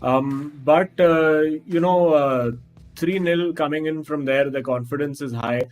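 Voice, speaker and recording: A man's voice: a little high, soft background music, poor audio. Filler-heavy man commentating. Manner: halting, many fillers and restarts. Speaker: esports commentator. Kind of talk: live esports co-commentary.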